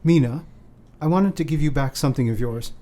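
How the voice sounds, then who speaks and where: A man acting a gentle, sincere, bereaved young Victorian gentleman in a radio play, speaking in polite, formal period phrasing. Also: on the high side, a little fast, close to an everyday voice. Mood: tender, hesitant.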